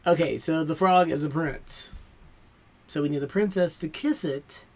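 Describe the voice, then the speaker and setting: A man's voice: fairly high pitch, moderate pace, a little mellow. A man giving casual, exclamatory reaction commentary, talking back to the show he watches.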